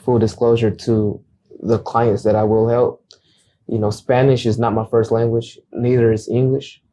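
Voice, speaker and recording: A man's voice: mid-range voice, level tone, normal speed, dark tone. A young man whose first language is Acateco, speaking earnestly as a guest realtor in a casual interview and often repeating words as he starts a thought. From United States.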